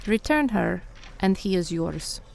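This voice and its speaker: A woman's voice: mid-range voice, animated, a little slow, vocal fry, darkish tone. An actress playing a menacing, imperious woman crime boss in a radio drama, speaking in formal, haughty, clipped phrases. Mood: cold menace.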